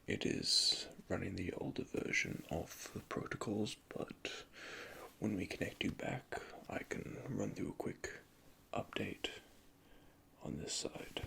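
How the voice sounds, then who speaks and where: A man whispering softly and methodically as he plays a repair technician in an ASMR roleplay, recorded close to the microphone. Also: low voice, slow, creaky. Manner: soft, calm, methodical, speaks in technical jargon. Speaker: ASMR creator playing an android repair technician.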